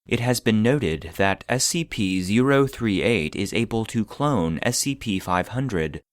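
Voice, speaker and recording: A man playing an impassive database voice, reading classified files in a formal, measured, clinical manner for a scripted audio drama. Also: lowish voice, animated, no room sound, studio quality. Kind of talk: scripted narration of clinical reports.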